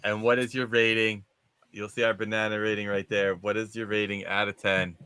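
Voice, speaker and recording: A man's voice: fairly low pitch, level tone, a little fast, sharp tone, clear, resonant tone, no room sound. A man hosting a casual live movie discussion, talking in an informal, rambling, conversational way. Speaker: show host.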